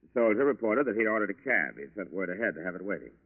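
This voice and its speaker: Determined G-man, lowish and quick, businesslike old-time radio style, narrow-band vintage recording. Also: sing-song. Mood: brisk, probing. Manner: clipped, businesslike. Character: brisk, determined male federal agent questioning witnesses. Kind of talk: 1940s radio drama acting. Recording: muffled, reverberant old radio broadcast recording.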